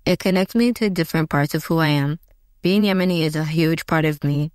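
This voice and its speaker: Measured woman with a smooth voice. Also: low voice, darkish tone, dry recording, clean studio sound. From California. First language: Arabic. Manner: measured, sincere. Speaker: interviewee. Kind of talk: prepared statement.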